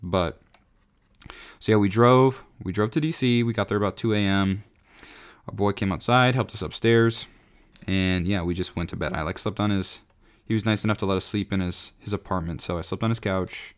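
A man in his twenties hosting his own podcast, rambling casually with lots of fillers and restarts, his voice raspy from singing. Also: fairly low pitch, normal speed. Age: 20s. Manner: rambling, self-correcting, frequent fillers. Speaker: podcast host. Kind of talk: rambling solo podcast chat.

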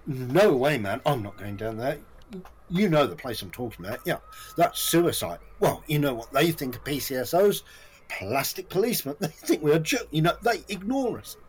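An actor in a comic audio play voicing a hapless, self-important ex-community police officer who rambles, overshares and boasts chummily at a job interview. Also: male voice, fairly high pitch, lively intonation, normal speed, slight background noise, lightly acted, rough recording. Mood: rambling, animated.

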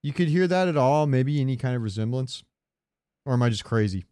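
A man's voice: mid-range voice, lively intonation, brisk, mellow, clear, resonant tone, no room sound, clean studio sound. A man co-hosting a podcast in casual comedic chat, rambling through jokey, sweary stories. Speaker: podcast co-host.

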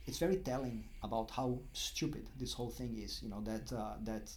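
A middle-aged man from Brazil with a Brazilian accent, a guest in a casual interview, speaking haltingly with many restarts and fillers. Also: lowish voice, fairly even intonation, a little mellow, slight background noise.

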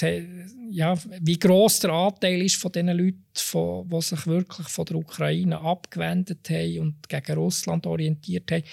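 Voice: medium pitch, gravelly, darkish tone, dry recording, studio quality. An expert guest with a Swiss accent answering interview questions, hesitant, with many fillers and restarts.

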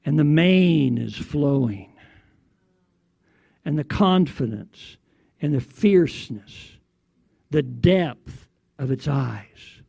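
A man preaching in a declamatory way, recounting a prophetic vision in short phrases with deliberate pauses. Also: fairly high pitch, lively intonation, creaky, dark tone, slightly rough, no echo. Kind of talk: prophetic sermon narration.

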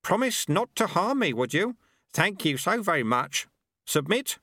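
A crafty old martial arts master, sly and teasing, pushed noticeably higher than a plain speaking voice and swinging up and down as he talks, as a male storyteller puts him on. The sound is close and dry with no room around it. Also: big pitch swings, measured pace, bright, forceful tone, no room sound, exaggerated voice.

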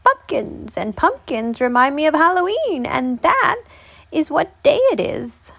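An excitable ancient old woman, put on by a woman reading a storybook, pitched high and dramatic. She cries out her words theatrically, in a dry close sound. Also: a little slow, vocal fry, dry recording, exaggerated voice. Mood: eager. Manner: crying out theatrically.

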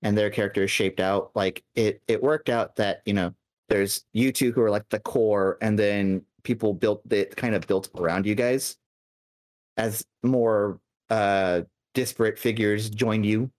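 A man's voice: on the low side, fairly even intonation, measured pace. A man hosting a casual podcast interview, rambling and thinking aloud with many fillers. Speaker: podcast host.

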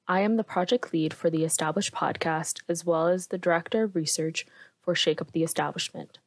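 A woman hosts a podcast interview. She reads a prepared introduction in a measured, formal way. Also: lowish voice, steady tone, dry recording. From Canada.

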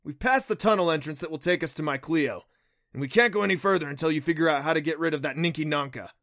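A man voicing an impatient, gruff male kelpie, a magical water horse, in a storybook. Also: a little high, a little fast, bright, forceful tone. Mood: irritated.

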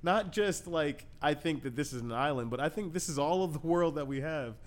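A man hosting a casual conversation, thinking out loud and asking questions, often laughing. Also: on the high side, lively intonation, brisk. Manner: reflective, laughs often, uses "like" and "right?". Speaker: podcast host.

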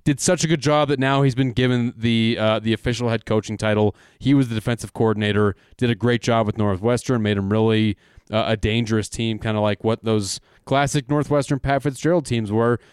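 A man's voice: mid-range voice, fairly even intonation, fairly quick, darkish tone, clean tone, no echo, clean studio sound. A man co-hosting a casual sports betting podcast, joking around and hyping picks. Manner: jokey, hypes with "baby". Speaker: podcast co-host.

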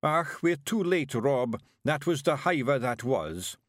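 A man's voice: medium pitch, sing-song, unhurried, no room sound, studio quality, voice pushed up. A man voicing a small, gloomy male fairy clansman with a broad Scottish accent and a doom-laden manner. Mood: worried.

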